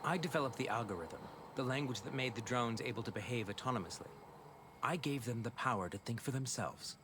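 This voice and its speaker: Guy playing heartbroken game scientist, mid pitch, halting and earnest, bit of background noise. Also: natural voice. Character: grief-stricken male scientist, guilty, earnest, fragile. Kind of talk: video game cutscene acting.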